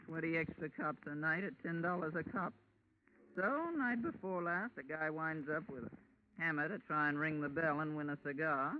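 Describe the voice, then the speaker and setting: An actress playing a brassy, slangy, aging carnival boss with a sharp voice in a 1940s radio drama. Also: female voice, low voice, normal speed, natural voice. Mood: weary, sardonic. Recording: noisy, tinny 1940s radio recording.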